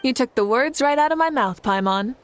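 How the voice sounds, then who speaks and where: A woman's voice: high voice, animated, fairly quick, a little hiss or hum. An actress playing a poised young woman in a video game, wry and composed with a teasing edge. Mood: composed, friendly.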